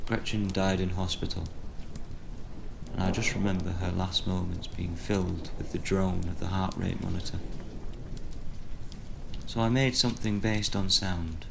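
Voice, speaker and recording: A man playing a weary, bitter retired horror storyteller in an audio drama, grave and deliberate in his delivery. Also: deep voice, vocal fry, exaggerated voice. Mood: sombre, grieving. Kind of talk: audio drama monologue and dialogue. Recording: faint background noise.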